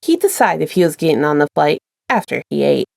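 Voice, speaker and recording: A woman's voice: low voice, sing-song, a little fast, vocal fry, darkish tone, no room sound, studio quality. A woman presenting and reading her own fan fiction as a podfic narrator, in an informal storytelling style. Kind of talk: casual intro and scripted story narration.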